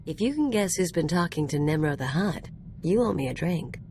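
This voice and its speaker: A woman's voice: fairly low pitch, lively intonation, normal speed, warm tone, slight background noise. A woman voicing a cocky, flirtatious rogue in a video game, casual and teasing with a bit of swagger. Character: cocky, flirtatious female rogue with a shady past.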